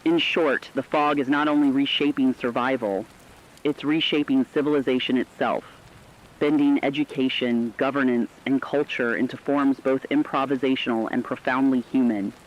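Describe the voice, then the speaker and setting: A man playing a solemn, measured radio news anchor delivering formal scripted commentary during an apocalypse. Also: mid-range voice, fairly even intonation, close to an everyday voice. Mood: solemn, earnest. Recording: dull lo-fi audio with rain noise.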